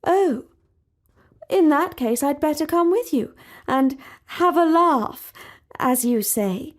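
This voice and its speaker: A woman's voice: sits high, expressive, slow, pitched above the performer's own voice. A woman voicing a polite young princess who seems to come from another age, speaking in a prim, formal way. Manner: prim, formal, old-fashioned.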